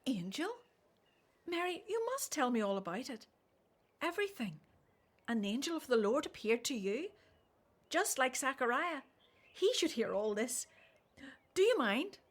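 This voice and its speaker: Casting: hospitable older mother-to-be, high voice, affectionate, exclamatory, lightly teasing, for radio drama. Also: very animated, a little slow, long pauses, heavily acted. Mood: delighted. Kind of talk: radio play acting.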